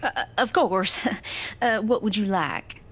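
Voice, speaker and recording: Hesitant diner waitress, unhurried woman acting. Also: mid-range voice, lively intonation, husky. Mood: polite, nervous. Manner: hesitant, unsure. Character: timid, curious small-town diner waitress. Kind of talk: audio drama acting.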